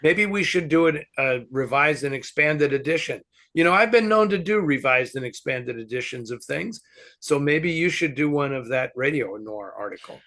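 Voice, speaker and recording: Gravelly-voiced man hosting a film chat. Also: on the high side, expressive, normal speed, sharp tone. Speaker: host answering fan questions. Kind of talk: casual film discussion.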